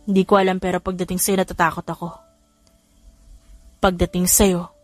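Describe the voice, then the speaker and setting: A woman's voice: fairly low pitch, darkish tone, close to an everyday voice. A woman voicing an earnest, hesitant young man in love in a romance audiobook. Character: earnest lovestruck young man, tough but timid.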